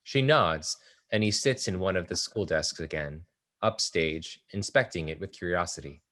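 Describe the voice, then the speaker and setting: A man reading stage directions aloud at a play table read, plain and steady. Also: low voice, normal speed.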